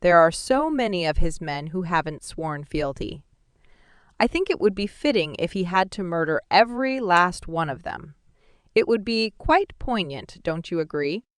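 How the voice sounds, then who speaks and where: A woman's voice: lowish voice, big pitch swings, moderate pace, smooth, no room sound, studio quality. A woman voicing a sneering, amused sorcerer father. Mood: cold. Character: cruel, mocking male sorcerer father. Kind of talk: audiobook dialogue reading.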